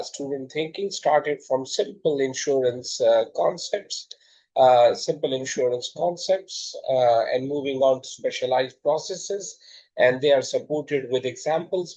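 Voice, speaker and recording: A man's voice: mid-range voice, a little mellow, dull audio. A middle-aged man with a Pakistani accent, giving a slide-based lecture that introduces his textbook, with frequent hesitant fillers.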